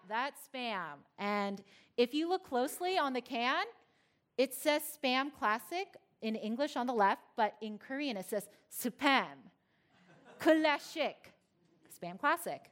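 A woman's voice: fairly high pitch, a bit bright. A woman from Philadelphia giving a personal talk to a live audience, telling her story with playful asides to the crowd. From Philadelphia, United States.

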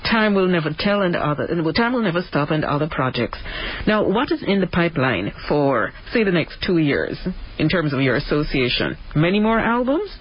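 Husky-voiced woman radio host. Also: deep voice, sing-song, moderate pace, dull audio. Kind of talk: morning radio interview.

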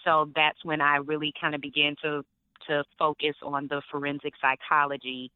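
Casting note: young actress, animated storyteller, smooth voice on a tinny phone line. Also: female voice, low-pitched, moderate pace, no room sound. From Atlanta, Georgia. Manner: lively storytelling, laughs often. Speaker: actress, interview guest. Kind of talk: interview on the phone.

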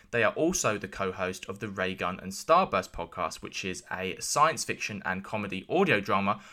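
Guy doing podcast host stuff, lowish pitch, bright forceful voice, chatty. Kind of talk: scripted intro and casual interview.

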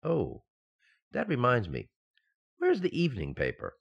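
An actor playing an excitable, befuddled, affectionate husband in a 1940s-style radio sitcom, with stammering comic delivery. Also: male voice, mid-range voice, measured pace, creaky, breathy edge, lo-fi sound, heavily acted. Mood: sheepish, subdued.

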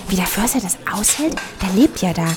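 A woman's voice: mid-range voice, animated, a little fast, lightly acted. A woman in an audio drama playing a naive, lonely hotel receptionist who rambles earnestly in over-literal detail. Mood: earnest, rambling. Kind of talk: German audio drama acting.

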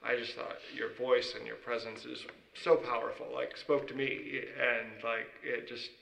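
Soft-spoken pastor, gravelly, distant. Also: male voice, mid-range voice, little pitch movement, measured pace, roomy sound. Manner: soft-spoken, affirming listener. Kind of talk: church interview.

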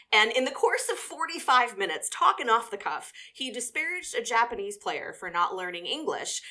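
A woman co-hosting a podcast in animated, conversational style, with a self-deprecating way of talking. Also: medium pitch. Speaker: podcast co-host. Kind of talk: casual panel chat and news reading.

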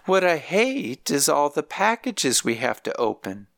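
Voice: mid-range voice, big pitch swings, measured pace, creaky, dry recording, clean studio sound, pitched above the performer's own voice, exaggerated voice. A narrator putting on a frail old grandmother. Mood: weary complaint. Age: older. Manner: plaintive, soft-spoken complaining. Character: frail elderly grandmother in a nursing home, weary and complaining.

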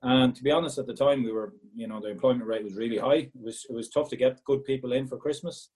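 Fast-talking Galway man with a mellow voice. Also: medium pitch. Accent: Galway Irish. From Ireland, Galway. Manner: chatty, repeats words when restarting. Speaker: former footballer, regional sales manager. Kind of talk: casual interview answers.

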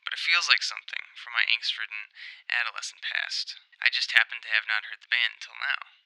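A man in his twenties narrates a scripted review in a very bright, forward voice with a creaky edge. His delivery is articulate, measured and reflective, and the sound is close and dry. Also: medium pitch, fairly even intonation, no echo, rough recording. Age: 20s. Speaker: music reviewer.

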